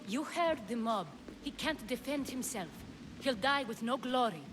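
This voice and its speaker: A woman playing an elegant, worried, commanding Athenian noblewoman in a video game, measured and grave. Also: on the high side, expressive, over music, lightly acted. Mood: worried, urgent. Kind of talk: video game cutscene acting.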